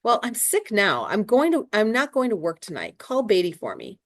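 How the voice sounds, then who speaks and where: A woman's voice: medium pitch, wildly swooping pitch, moderate pace, voice pushed up. A woman reading a feverish, troubled fireman with a pleading, agitated delivery. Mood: weary.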